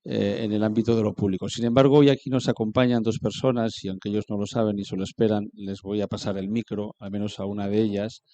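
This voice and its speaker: A man moderating a panel, introducing the guests in a steady, matter-of-fact way and tagging his points with quick questions. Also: fairly low pitch, no echo.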